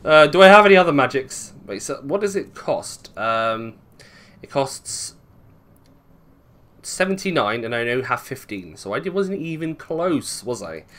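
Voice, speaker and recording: A man's voice: medium pitch, sing-song, measured pace, fairly bright tone. A British man giving casual gameplay commentary, thinking aloud, swearing and questioning himself as he goes. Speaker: gaming video host.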